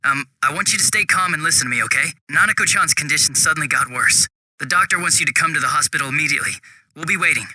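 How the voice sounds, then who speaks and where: A man voicing a young police detective in a video game, affable and easily flustered, with a casual, hesitant and excitable way of talking. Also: on the high side, quick pace, dry recording. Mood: earnest, apologetic. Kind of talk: English video game voice acting.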